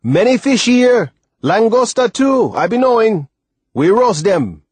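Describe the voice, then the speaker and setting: A man performs an old West Indian seaman for an audiobook, pitched up and hearty. He speaks in dialect with emphatic, deliberate phrasing and a reassuring temper. The recording is clean and dry. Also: husky, no echo, natural voice. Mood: excited shouting. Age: older. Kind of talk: audiobook character voice.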